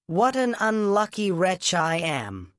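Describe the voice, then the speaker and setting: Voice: medium pitch, sing-song, takes it slowly, a bit bright, dry recording, studio quality, voice pushed up. A narrator voicing a brutish, self-pitying male carter in a storybook, grumbling and exclaiming. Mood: wailing despair. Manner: blustering, wailing exclamations.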